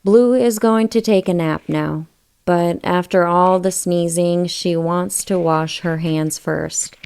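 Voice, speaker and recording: Slow-reading woman, softly mellow storybook narrator. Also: fairly low pitch, steady tone, gravelly, smooth. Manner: addresses young listeners with questions. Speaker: children's book read-aloud narrator. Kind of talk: scripted storybook read-aloud.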